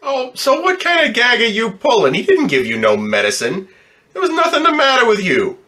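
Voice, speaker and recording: An actor playing a gruff, cynical, impatient ambulance driver in an old-time radio drama, with brusque streetwise talk. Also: male voice, very high voice, huge pitch swings, normal speed, bright, forceful tone, full character voice. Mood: scornful, impatient.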